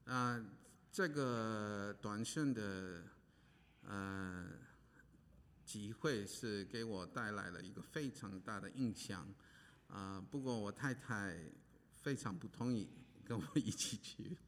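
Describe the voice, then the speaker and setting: Male pastor from Hawaii, low and unhurried, halting delivery, long reverb of a church hall. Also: fairly bright tone, a little hiss or hum. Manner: halting, many pauses and fillers. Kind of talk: preaching with interpreter.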